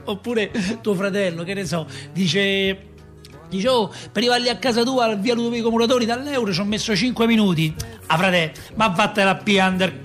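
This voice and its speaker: An actor playing a chatty Roman father who tells city tales in a folksy, rambling way, with a Roman accent. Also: sits high. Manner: animated, folksy, rambling, rhetorical. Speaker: actor in a radio theatre monologue. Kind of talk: colloquial dramatic storytelling.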